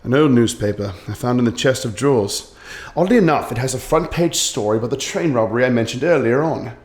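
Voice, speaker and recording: A man playing a shrewd, calm detective in a radio play, brisk and commanding with a dry wit, in a roomy room. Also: medium pitch, close to an everyday voice. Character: shrewd calm male detective, middle-aged. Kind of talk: community radio theater acting. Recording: roomy echo.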